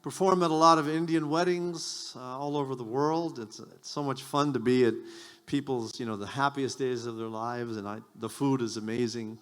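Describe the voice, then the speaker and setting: A man's voice: medium pitch, steady tone, moderate pace. A man whose first language is Japanese, doing stand-up on a community event stage, self-mocking and rambling with stammered restarts. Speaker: stand-up comedian. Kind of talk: stand-up comedy set.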